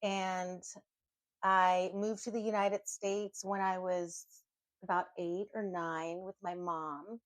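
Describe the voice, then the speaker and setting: A woman's voice: lowish voice, flat delivery, slow, dry recording. Earnest Venezuelan-raised woman, dry close sound. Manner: earnest, reflective, with many fillers. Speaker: podcast co-host. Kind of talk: casual heartfelt chat.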